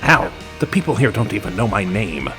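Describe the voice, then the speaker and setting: A man playing a guarded, earnest friend who pleads in a halting, sombre way in a tabletop roleplay. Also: fairly low pitch, very animated, a bit bright, soft background music. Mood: gentle pleading.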